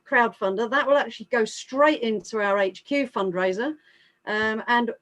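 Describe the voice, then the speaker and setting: Woman, English accent, fairly high voice, enthusiastic, emphatic, informal volunteer appeal. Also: fairly bright tone. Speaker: scout group leader. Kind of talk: informal appeal at an online awards evening.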